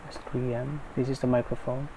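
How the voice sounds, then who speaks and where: A man's voice: mid-range voice, flat delivery, brisk. A man giving casual, unscripted unboxing commentary, thinking aloud in a tentative way. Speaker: unboxing video maker. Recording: clearly noisy background.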